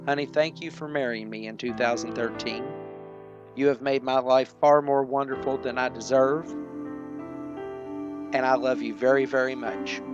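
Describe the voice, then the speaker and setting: A man hosting a show, giving a quiet, sincere sign-off to his listeners with music behind him. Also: medium pitch, steady tone, moderate pace, highs cut off. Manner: quiet, earnest. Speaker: show host.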